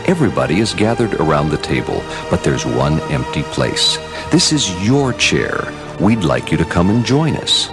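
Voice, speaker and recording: An extremely deep announcer beckons in a solemn, theatrical ad style. The pitch swoops dramatically from phrase to phrase, with music playing clearly behind. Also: male voice, very animated, normal speed. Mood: spooky inviting. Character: solemn, inviting male announcer for a phone seance. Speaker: commercial announcer. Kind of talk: spooky television ad voice-over.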